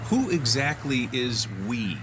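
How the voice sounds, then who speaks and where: A man's voice: mid-range voice, very animated, slow, background noise. An actor playing a cynical, unflappable male investigator in a radio drama, sardonic, offhand and skeptical.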